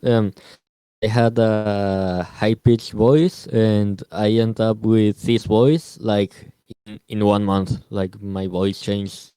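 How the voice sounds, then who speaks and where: A young man from Mexico with a Mexican Spanish accent, chatting casually and pausing to search for words. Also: lowish voice, flat delivery, mellow, clean tone, no echo. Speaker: podcast co-host. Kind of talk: casual chat.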